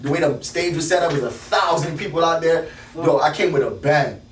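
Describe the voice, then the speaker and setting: A rapper with a South Florida accent talks with quick, animated slang and a fairly high voice. He tells his stories fast. The sound is roomy, with the mic set some way off. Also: recorded from a distance. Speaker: rapper guest. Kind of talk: casual interview chat.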